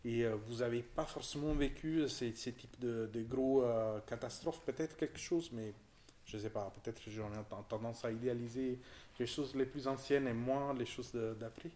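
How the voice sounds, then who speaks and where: A man in his forties speaks French with an Italian accent, in an ordinary middle pitch. He talks at a casual, unhurried pace, stopping and restarting as he hunts for the right word, stringing his thoughts together with fillers. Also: darkish tone. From southern Italy. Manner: hesitant, searching for words, many restarts. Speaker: visitor discussing an exhibition. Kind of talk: casual conversation.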